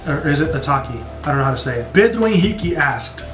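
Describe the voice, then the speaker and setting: Young American male YouTuber, casual and enthusiastic, slightly higher-pitched voice, roomy sound over background music. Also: very animated, quick pace, a bit bright. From born in Brazil, grew up in the United States from age three. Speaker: YouTube music reaction host. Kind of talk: casual Q&A chat to viewers. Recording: roomy echo with music behind.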